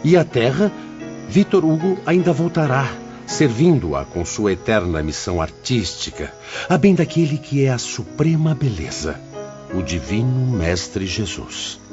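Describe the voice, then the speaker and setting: Solemn wise spirit mentor, Brazilian accent, didactic, lively intonation, faint music, slightly muffled audiobook drama. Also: male voice, mid-range voice, measured pace. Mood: reverent.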